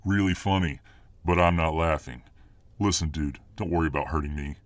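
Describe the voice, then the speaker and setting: A man's voice: a bass voice, normal speed, no room sound. A man playing a dominant, boastful, hot-tempered muscular fireman boyfriend in an ASMR roleplay audio drama. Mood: challenging, swaggering. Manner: boastful, cocky swagger.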